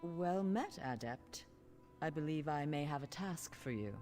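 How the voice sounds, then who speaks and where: Scholarly female mage, deep for a woman, polite, businesslike, fantasy game, soft music behind. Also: animated, measured pace. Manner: formal, polite, learned. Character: scholarly, businesslike female mages guild official. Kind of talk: fantasy video game voice acting.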